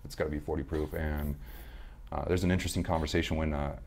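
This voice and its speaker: A man as a podcast guest, telling rambling stories in casual chat with a slightly hoarse, smooth low voice. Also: flat delivery, fast, darkish tone. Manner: rambling storyteller, many restarts and fillers.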